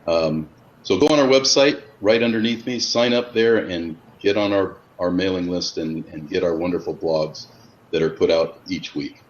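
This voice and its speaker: A man's voice: sits low, moderate pace. A man in his fifties hosting a casual interview over a video call, talking in an informal, rambling way. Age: 50s. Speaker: host. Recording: dull lo-fi audio with background noise.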